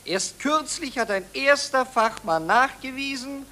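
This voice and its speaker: Ein Schauspieler spielt in einem deutschen Hörspiel der 1940er einen pompösen Medizinprofessor, der deklamatorisch doziert. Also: male voice, extremely high pitch, lively intonation, sharp tone, full character voice. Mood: lecturing, assured. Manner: deliberate lecturing, oratorical. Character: pompous German professor of medicine defending wartime privation. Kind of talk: 1940s German radio play, declamatory speech. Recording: noisy 1940s radio recording.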